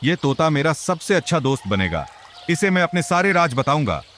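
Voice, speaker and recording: Man playing a chatty, raised-pitch village boy. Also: moderate pace, slight background noise. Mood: delighted. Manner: talkative, excitable. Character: chatty, mischievous, eager young village boy. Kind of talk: cartoon story character voice.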